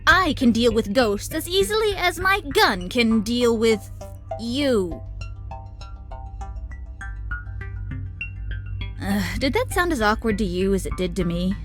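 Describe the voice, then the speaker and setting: Casting: exasperated, sardonic female villain for a game, high voice with big swoops, music underneath. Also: very animated, moderate pace, a bit bright. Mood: weary, threatening. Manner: exasperated, theatrical, swearing. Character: Kiki, sardonic, dramatic, gun-toting female villain rival. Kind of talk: visual novel game voice acting.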